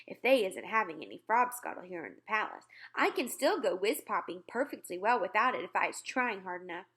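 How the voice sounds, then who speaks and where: A woman voicing a big, friendly, childlike male giant in an excitable storybook read-aloud, with garbled grammar and mangled words. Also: mid-range voice, brisk. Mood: curious, sly.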